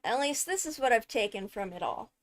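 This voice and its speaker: A woman giving enthusiastic fan commentary, thinking aloud with dramatic, deliberate phrasing and emphatic pauses. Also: a little high, expressive, fairly bright tone. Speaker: video creator analysing a game character.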